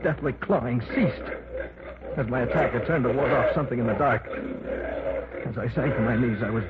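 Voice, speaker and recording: A man's voice: medium pitch, normal speed, close to an everyday voice. An actor playing a frightened man held captive underground, telling his tale in tense, dramatic old-time radio narration. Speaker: first-person narrator of a radio play. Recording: scratchy, tinny old radio broadcast.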